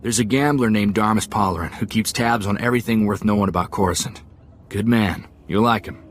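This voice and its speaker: An actor playing an eager, friendly gunslinger sidekick in a video game, with an easygoing, folksy way of talking. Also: male voice, lowish voice, fairly quick, a little hiss or hum, close to an everyday voice. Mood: chatty, eager.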